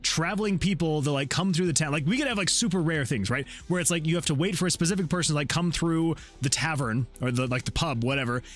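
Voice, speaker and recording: A man hosting a game development livestream, chatting casually with viewers and rambling excitably as he thinks out loud. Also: fairly high pitch. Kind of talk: casual chat with stream viewers while working. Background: background music.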